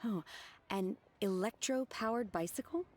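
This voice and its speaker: An actress playing an earnest young disciple in a video game, polite, measured and matter-of-fact. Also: female voice, medium pitch, darkish tone, faint music behind, natural voice. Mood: composed. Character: composed, earnest young female disciple, bluntly fierce.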